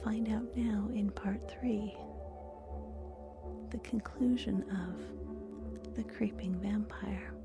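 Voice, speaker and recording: A woman's voice: medium pitch, fairly even intonation, drawn-out words, long pauses. A woman whispering a scripted ASMR episode introduction as an announcer. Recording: music bed under whisper. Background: music.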